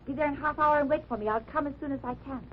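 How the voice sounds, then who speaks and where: An actress playing a secretive, weary wife of a German underground fighter, speaking carefully and formally in old radio drama style. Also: female voice, sits high, rapid-fire, loud music behind the voice, natural voice. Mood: urgent, secretive. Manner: careful, formal, earnest phrasing. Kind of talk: 1940s-50s radio drama acting. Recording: muffled, noisy old-time radio recording.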